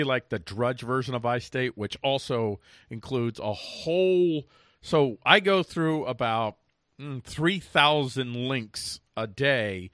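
Male host, American, forceful bright tone, dry close sound, casual and halting political chat. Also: medium pitch, dry recording. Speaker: talk show host.

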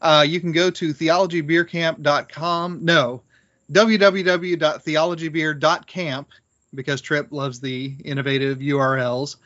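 A man's voice: fairly high pitch, level tone, unhurried, a bit bright, muffled recording. A man speaking casually to listeners as a podcast co-host. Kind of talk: casual chat and announcements.

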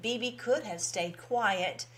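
A woman's voice: mid-range voice, takes it slowly. A woman speaks as the host of her own commentary show, with a mid-range voice. She talks informally and directly to followers in a folksy way, leaning on key phrases and repeating them for emphasis. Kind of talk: informal political commentary to followers, reading out posts.

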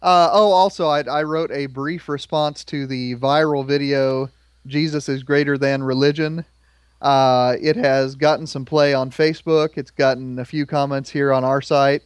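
A man in his thirties hosting a podcast in a relaxed, conversational way, slightly hoarse from a cold. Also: mid-range voice, normal speed, no echo. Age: 30s. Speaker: podcast host. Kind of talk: casual chat.

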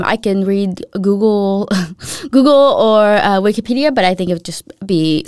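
Smooth-voiced woman interview host. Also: mid-range voice, dry recording, studio quality. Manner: warmly encouraging, frequent affirmations. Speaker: podcast host. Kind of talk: casual interview conversation.

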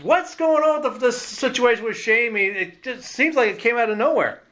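A man's voice: extremely high pitch, animated, fairly quick, fairly bright tone. A man hosting a sports podcast, introducing and interviewing guests. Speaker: podcast host, sports editor.

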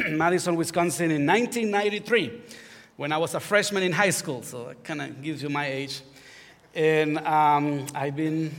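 A man in his forties from Honduras with a Honduran Spanish accent, preaching a sermon as pastor, joking about himself and building to fervent exhortation. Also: fairly high pitch. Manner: warm self-deprecating humor, rising to fervent preaching. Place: church sanctuary. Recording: long hall reverb.

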